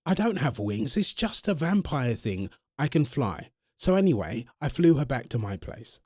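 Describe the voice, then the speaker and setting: A man's voice: medium pitch, moderate pace, no room sound, clean studio sound. A man playing a chatty, smitten immortal vampire boyfriend, telling his story conversationally in a scripted audio roleplay. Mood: animated storytelling. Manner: conversational, animated, addressing an unseen listener.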